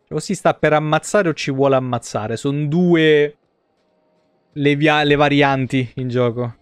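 A man's voice: fairly high pitch, unhurried, clear, resonant tone, no echo, soft background music. A man in his thirties with an Italian accent doing casual, colloquial live gameplay commentary, swearing now and then. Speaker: video game streamer. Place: small indoor room.

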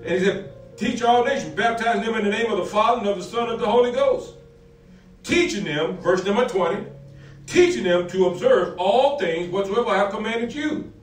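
A man's voice: sits high, expressive, fairly quick. Middle-aged man, pastor, sermon delivery, repeated phrases and amens, distant microphone, music behind. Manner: repetitive call-and-answer questions, punctuated with amens. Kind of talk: sermon, preaching with scripture readings. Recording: roomy, distant mic, faint music behind.

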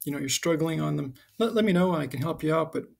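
A middle-aged American man, a high school teacher, giving an informal, halting, explanatory introduction to his class over slides. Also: vocal fry. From United States (Connecticut and Southern California).

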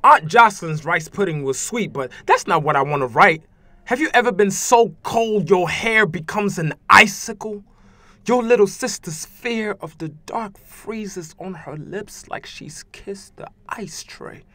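A man's voice: high-pitched, expressive, a little slow, pushed and bright, no room sound, full character voice. Guy playing a wounded teen boy slam poet, intense n rhythmic, voice swings a lot, dry close sound. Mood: anguished. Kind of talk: slam poetry recital.

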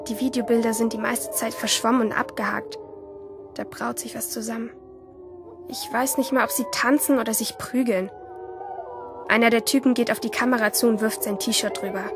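A woman playing a lonely, curious teenage girl who narrates a radio drama as an intimate inner monologue. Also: fairly high pitch. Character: lonely, curious teenage girl watching life through screens.

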